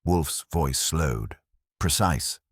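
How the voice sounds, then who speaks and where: Very deep, husky male story narrator. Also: expressive, very slow, dry recording, studio quality. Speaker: narrator of a detective mystery story. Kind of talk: scripted audiobook narration, first-person detective fiction.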